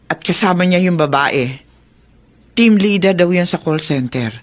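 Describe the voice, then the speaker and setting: A woman's voice: on the low side, animated, measured pace. A woman playing a caring middle-aged mother who reasons with her child in a Filipino radio drama. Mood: earnest, reassuring.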